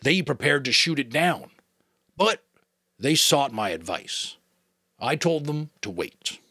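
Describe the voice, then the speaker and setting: A man voicing an old male keeper of memories, weary and wise, speaking in a measured, rueful way. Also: medium pitch, sing-song, bright, forceful tone, breathy edge, no room sound, clean studio sound, voice pushed up, heavily acted.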